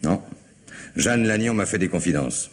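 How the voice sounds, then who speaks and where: An actor in a French radio mystery play voicing a brisk, familiar senior police detective with a bossy, wryly self-mocking manner. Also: male voice, low-pitched, lively intonation. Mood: confiding, wry. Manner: conversational, bossy, wry.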